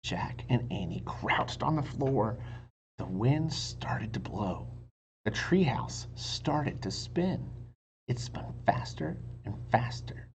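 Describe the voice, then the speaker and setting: A man reading a children's book aloud dramatically, stretching words and dropping into hushed whispers, with teacherly asides to his listeners. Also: medium pitch, animated, slow, vocal fry, poor audio. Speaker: read-aloud storyteller and teacher.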